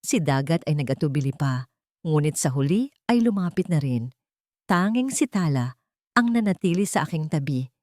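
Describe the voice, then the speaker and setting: Filipino woman, story narrator, scripted dramatic delivery, lowish voice, dry close sound. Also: expressive, moderate pace, a little mellow, no room sound.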